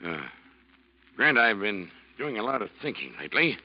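Low-voiced, stiff, brooding sea captain. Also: male voice, very animated, normal speed. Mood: guarded, contrite. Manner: clipped, stiff authority. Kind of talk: old-time radio drama acting. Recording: muffled old radio recording.

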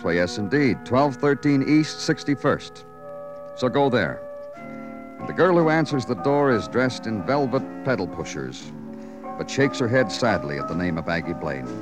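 An actor playing a terse, world-weary American police detective who also narrates in clipped 1950s radio noir style. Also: male voice, on the low side. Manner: clipped, staccato, hard-boiled.

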